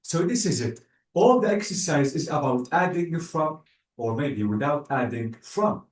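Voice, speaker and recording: A man teaching an English class online, speaking slowly and patiently and praising the student often. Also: a little high, expressive. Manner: slow, patient, spells out word endings, praises with "bravo". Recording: echoey, distant room sound.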